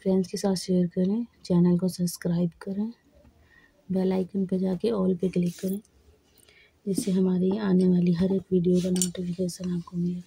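A woman vlogger who is hoarse from a cold, talking slowly and plainly to camera while trying on socks at home. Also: lowish voice, flat delivery, warm tone. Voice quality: husky. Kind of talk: casual vlog talk to camera. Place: indoors at home, camera on the floor.

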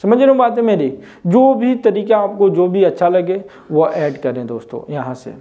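A young man teaches at a whiteboard, his voice high and mellow. He keeps checking in with viewers through rhetorical questions. The lapel-mic sound is slightly dull. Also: normal speed. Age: 20s. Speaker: English teacher. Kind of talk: whiteboard lesson. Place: indoor room before a whiteboard.

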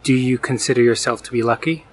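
Man, interviewer, off camera, brief direct questions, mid pitch. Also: steady tone, fast, a little hiss or hum.

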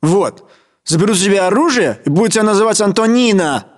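A man's voice: high-pitched, lively intonation, fast talker. A man playing a Russian soldier on base duty in a military video game. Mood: grumbling banter.

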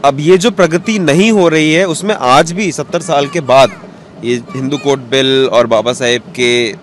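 A man's voice: medium pitch, unhurried. A man in his thirties giving reflective interview answers outdoors, dropping English words into his speech. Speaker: cartoonist, interview guest. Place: outdoors on a bench in a courtyard. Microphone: interviewer's handheld microphone. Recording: outdoor street noise, traffic behind.

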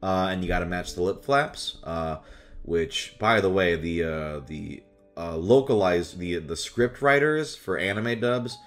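Low-voiced young man chatting casually. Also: unhurried, soft background music. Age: young adult. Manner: animated, slips into exaggerated character voices. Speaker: voice actor and video host. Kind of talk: casual chat to camera about voice roles. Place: small furnished room at home, indoors.

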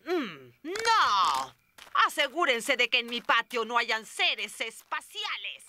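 Stern middle-aged cartoon woman, slow, haughty, animated, suspicious. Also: a little high, a little hiss or hum, heavily acted. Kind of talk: Spanish-dubbed cartoon acting.

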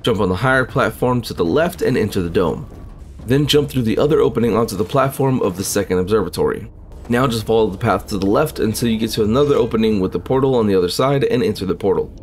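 A man reads a scripted game guide at a quick clip in a fairly low voice. His delivery is matter-of-fact and instructional, with faint noise underneath. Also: a little mellow. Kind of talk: scripted walkthrough narration.

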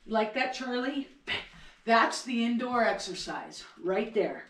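A middle-aged woman teaches with upbeat, demonstrative energy, her voice sitting slightly high. She is recorded by a camera set well back in a kitchen. The room gives her voice a roomy echo. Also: lively intonation, unhurried, fairly bright tone. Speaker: ski instructor. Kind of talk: casual home video lesson. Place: home kitchen. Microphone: far camera. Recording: echoey kitchen from far off.

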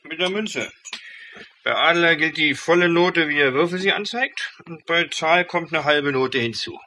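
Bright, flippant, wine-loving male professor. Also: a little high, moderate pace, slight background noise. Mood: jovial, teasing. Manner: jocular, ironic. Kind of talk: German radio crime play dialogue.

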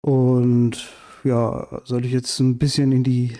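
A man from Iran in his thirties, a podcast guest telling his story in hesitant casual chat full of fillers, on a boom mic in a bright living room. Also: flat delivery, takes it slowly. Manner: hesitant, many fillers and restarts. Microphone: studio mic on boom arm, headphones.

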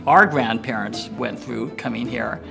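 An older man with an American accent, speaking haltingly in a documentary interview. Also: mid-range voice, creaky, over music. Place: indoor room, seated filmed interview.

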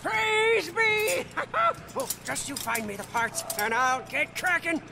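An actor playing a fervent, servile mechanic zealot in a video game, pleading and grandiose with religious phrasing. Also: male voice, extremely high pitch, very animated, slow pace, creaky, heavy background noise, exaggerated voice. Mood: eager. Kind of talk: video game character acting.

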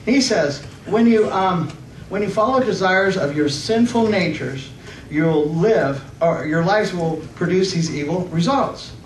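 A middle-aged man preaching and reading scripture in a halting, slightly nervous way, often correcting himself and asking for amens. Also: sits high, expressive. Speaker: preacher at a church conference. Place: church hall stage, indoors. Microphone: stand microphone, picked up by far camera. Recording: roomy, distant microphone with faint noise.

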